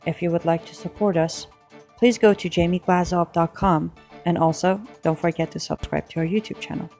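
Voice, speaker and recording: A woman's voice: on the low side, fairly even intonation, normal speed, darkish tone, soft background music. A woman reading a scripted promotional announcement for a show.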